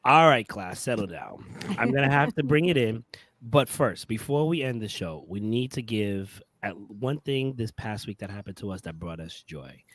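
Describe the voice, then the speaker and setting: A man hosts a casual chat show and moves it along with playful lines. His pitch swings widely and the sound is close and dry. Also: expressive, normal speed, fairly bright tone, no room sound. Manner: playful, leads the segments. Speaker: host.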